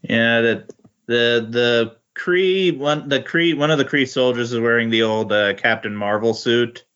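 A man chatting casually as a podcast co-host, tentative and halting as he reacts. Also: mid-range voice, a bit bright, clean tone. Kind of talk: casual chat. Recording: dull lo-fi voice recording.

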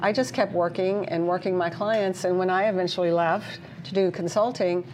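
The woman moderator at a business event, affirming her guest in a slightly low voice, with a faint noise behind her. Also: steady tone, moderate pace, a little mellow. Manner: affirming, brief agreeing remarks. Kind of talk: casual panel moderating.

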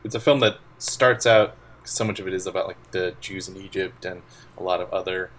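Deep-voiced man reviewing a film. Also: steady tone, a little fast, fairly bright tone. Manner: talks in long, winding analytical turns. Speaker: podcast co-host. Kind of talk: casual film review chat. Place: home computer setup.

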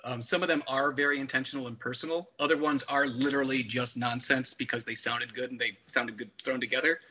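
A man's voice: medium pitch, fairly even intonation, a little fast, sharp tone, rough recording. A man talking casually through an album discussion, explaining his views in wordy, analytical detail.

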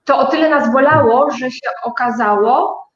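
A woman with a fairly high voice recounts her experience as an interview guest. She pauses and stretches words as she searches for them. The recording is slightly dull, with clear room echo. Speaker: guest, start-up co-owner. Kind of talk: conversational interview account. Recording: dull, roomy sound.

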